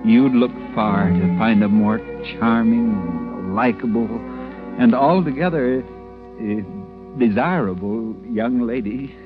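An actor playing a timid, fussy, earnest store clerk in a 1940s radio drama. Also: male voice, medium pitch, takes it slowly, lightly acted. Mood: self-satisfied, confiding. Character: timid, prim department-store drapery clerk narrating his cruise. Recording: muffled old radio recording with music behind.

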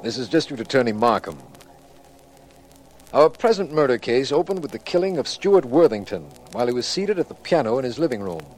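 A man acting as a businesslike district attorney in an old radio mystery. He speaks formally and earnestly, with plenty of rise and fall in his medium-pitched voice. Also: very animated, fairly quick, darkish tone, faint music behind, lightly acted, poor audio. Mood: formal, grave. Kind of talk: 1940s radio crime drama, formal delivery.

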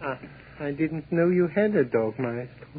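An actor playing a timid, flustered, deferential underling in 1940s radio drama, stammering and hesitant. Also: male voice, on the high side, animated, measured pace. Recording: tinny noisy 1940s radio broadcast.

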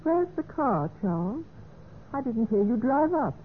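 Concerned radio wife, tinny sound. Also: female voice, fairly high pitch, sing-song, unhurried. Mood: mildly concerned. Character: gentle, concerned, trusting wife. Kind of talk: 1940s-50s radio mystery acting. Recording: tinny, faintly noisy vintage radio recording.

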